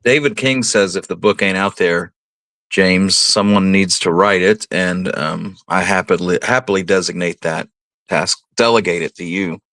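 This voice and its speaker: A man's voice: low-pitched, moderate pace, a bit bright, no room sound. A man co-hosting a radio show, talking in a casual conversational way. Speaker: co-host of a history radio show.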